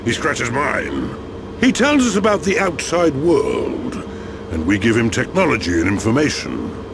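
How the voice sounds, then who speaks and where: An actor playing a genial, unhurried old chief of a machine people in a video game. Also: male voice, mid-range voice, wildly swooping pitch, vocal fry, background noise, exaggerated voice. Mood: wry. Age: older.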